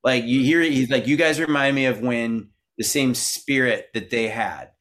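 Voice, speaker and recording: A man's voice: mid-range voice, normal speed, fairly bright tone. A middle-aged man from Minnesota, a musician guest in an interview, talking casually and rambling with many fillers and restarts. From Minnesota, USA.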